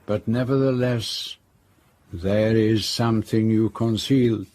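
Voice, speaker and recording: An actor playing a shrewd, courteous private detective in an audio drama, measured and gently probing. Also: male voice, on the low side, steady tone. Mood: persistent, shrewd.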